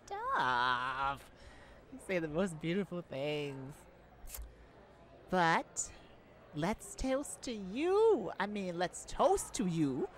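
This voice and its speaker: A woman's voice: on the low side, wildly swooping pitch, drawn-out words, a little hiss or hum, full character voice. A woman voicing an affectionate, modest young male bar singer in an intimate ASMR roleplay, sweet-talking and hesitant.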